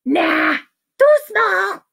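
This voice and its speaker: Woman playing a high, gleefully eager lady doctor. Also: big pitch swings, extremely slow, gravelly, a bit bright, heavily acted. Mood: dismissive. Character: brisk female doctor, gleefully eager with needles.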